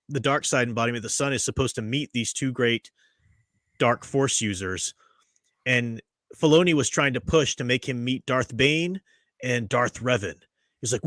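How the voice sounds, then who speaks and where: A man's voice: medium pitch, a bit bright, no echo, studio quality. A man hosting a casual podcast chat, opinionated and chatty, laughing often. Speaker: podcast host.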